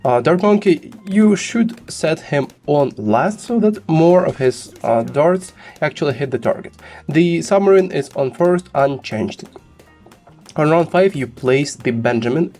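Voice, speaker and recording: A man's voice: a little high, a little mellow. Man with a sick voice explaining game strategy. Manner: instructive, many "uh" fillers. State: sick, slightly rough voice. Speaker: gaming guide host.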